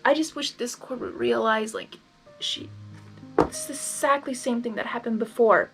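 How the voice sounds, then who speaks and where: High-pitched woman reading a story, music behind. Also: normal speed, vocal fry, fairly bright tone. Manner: informal, emotionally invested. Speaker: reader and narrator of fan fiction. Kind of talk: expressive story reading with casual asides.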